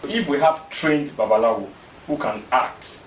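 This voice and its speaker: A man's voice: mid-range voice, unhurried. A man interviewing an elder, speaking deferentially and calling him sir. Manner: deferential, says sir, short backchannels. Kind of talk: interview questions. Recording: echoey room from a distant mic, faint noise.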